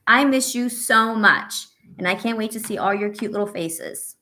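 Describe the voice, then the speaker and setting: A woman reading a picture book aloud to young children as a teacher, pausing to ask questions and offer encouragement and reassurance. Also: mid-range voice, bright, forceful tone, a little hiss or hum. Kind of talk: read-aloud story with asides to young children.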